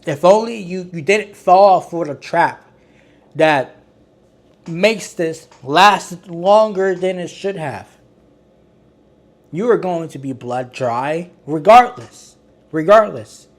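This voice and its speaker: A man hosts a casual online commentary, his voice sitting a little higher than most men's. He rambles and restarts his sentences, sounding exasperated and critical. His delivery has a fairly monotone quality. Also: slow. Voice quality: self-described monotone. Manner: rambling, with frequent restarts and filler words. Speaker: commentary channel host.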